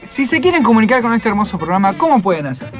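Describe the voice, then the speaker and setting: A man's voice: sits high, very animated, fast. Argentine man hosting radio over music. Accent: Rioplatense Argentine. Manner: playful, often ends phrases with a questioning "eh". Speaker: radio show host. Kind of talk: casual radio chat. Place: radio studio. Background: loud music bed.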